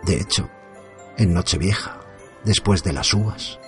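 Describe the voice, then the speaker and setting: Un hombre interpreta a un padre atormentado que narra un relato de terror en primera persona, con tono sombrío, medido y dramático. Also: male voice, deep voice, faint music behind. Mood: subdued, gloomy. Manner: somber, measured, dramatic. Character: tormented father haunted by evil, telling his story. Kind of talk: first-person horror narration.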